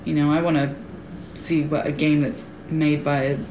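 A woman's voice: low voice, level tone, normal speed. A woman guest in a casual podcast chat, talking enthusiastically and with emphasis. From Polish family. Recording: roomy with background noise.

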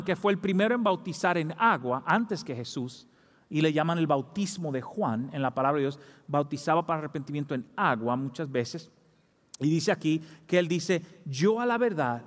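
A man's voice: high voice, big-room sound. A man preaching a sermon as pastor, fervent, rising to emphatic peaks. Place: church.

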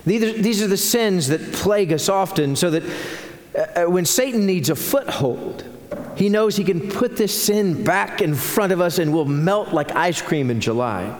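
A man's voice: high voice, expressive, normal speed. A man with a Texan accent, a pastor preaching a sermon with growing earnestness. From United States. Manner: earnest preaching, rising in intensity. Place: church sanctuary. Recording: echoey church hall.

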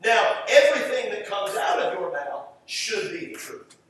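A man with a Southern US accent preaching a sermon emphatically, repeating phrases and pausing for effect. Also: high-pitched, animated, a bit bright. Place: large echoey room, preaching to a congregation. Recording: echoey room, distant mic, faint noise.

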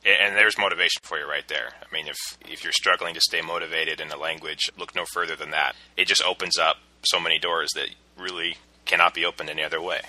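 A man's voice: extremely low pitch, steady tone, fast talker, hardly any pauses, sharp tone, poor audio. A man hosting a podcast interview, earnest and thoughtful, often restarting his phrases. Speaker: podcast host.

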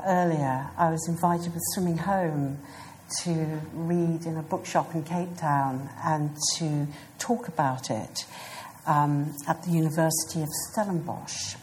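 A woman in her fifties with a British accent, an author answering interview questions in a thoughtful, hesitant way with many pauses. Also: deep voice, darkish tone, slight background noise. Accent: British English. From South Africa. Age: 50s.

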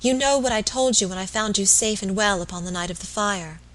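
A woman's voice: mid-range voice, brisk, a bit bright, close to an everyday voice, poor audio. A woman voicing an anxious, deferential young wife in an audiobook, speaking eagerly and earnestly. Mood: eager, confiding. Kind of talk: audiobook character voice.

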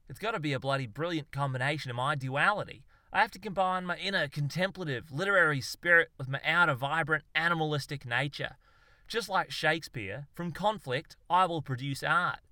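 Man putting on loud Australian blowhard bloke, higher pitched and punchy, crisp dry sound, in a comic audiobook. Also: animated, a little fast, no echo. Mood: boastful. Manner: loud, blustering, slangy. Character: brash boastful Australian self-styled zoologist, male.

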